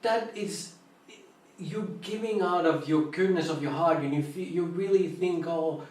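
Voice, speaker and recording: A man with a Finnish accent, a Buddhist monk giving an informal meditation talk, halting as he searches for English words. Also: on the high side, darkish tone. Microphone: online live stream, distant mic. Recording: very echoey room, far from mic.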